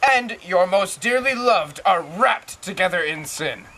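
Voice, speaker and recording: A man's voice: sits high, lively intonation, unhurried, sharp tone, a little hiss or hum, exaggerated voice. A man playing a stubborn, reluctant old prophet in an amateur Greek tragedy, speaking in cryptic, foreboding lines. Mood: defiant.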